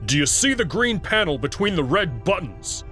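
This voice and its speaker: A man's voice: high-pitched, sing-song, normal speed, bright, forceful tone, soft background music, voice pushed up, heavily acted. A man voicing a fierce male alien pilot who barks urgent commands in a sci-fi story reading.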